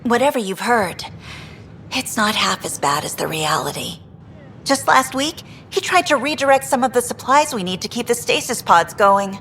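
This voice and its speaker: A woman's voice: fairly high pitch, animated, moderate pace, fairly bright tone, a little hiss or hum. An actress playing a frustrated female station worker who vents with exasperation and dry humor. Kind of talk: video game dialogue.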